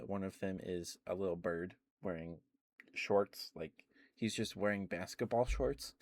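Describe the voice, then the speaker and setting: A man talking softly in an ASMR stream, rambling with many ums and restarts and now and then gushing with enthusiasm. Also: deep voice, dry recording, clean studio sound. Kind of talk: soft-spoken ASMR rambling.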